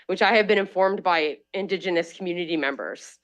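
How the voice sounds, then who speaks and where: A woman's voice: fairly low pitch, a little fast, muffled recording. A woman with a Canadian accent speaking emphatically at a lectern microphone in a council committee room, often saying "like" and repeating herself. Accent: Canadian English. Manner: emphatic, repetitive, uses "like" often. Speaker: public delegate. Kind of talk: public comment at council meeting.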